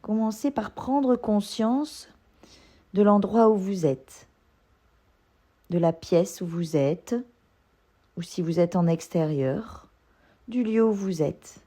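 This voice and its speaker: Very slow, mellow woman guiding relaxation. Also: medium pitch, expressive. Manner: soothing, gently instructing. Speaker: yoga instructor. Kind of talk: guided relaxation meditation.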